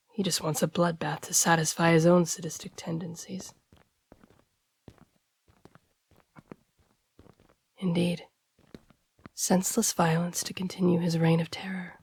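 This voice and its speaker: Breathy, husky woman acting a devoted royal assassin. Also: lowish voice, full character voice. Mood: quiet contempt. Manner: formal, deferential, hushed speech. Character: devoted masked royal assassin and guard, courteous, shadowy. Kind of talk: intimate audio roleplay acting.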